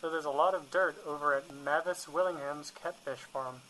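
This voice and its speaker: Man acting as exasperated hunter, forceful bright voice, practical, comedy radio drama. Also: on the high side, steady tone, normal speed, a little hiss or hum, lightly acted, low-quality recording. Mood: matter-of-fact.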